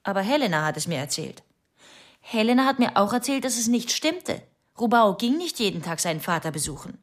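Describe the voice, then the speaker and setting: An actress in a radio drama playing a suspicious, obsessive young wife giving testimony in terse, insistent fragments. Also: female voice, mid-range voice, very animated, fairly quick. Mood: insistent, tense. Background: faint music.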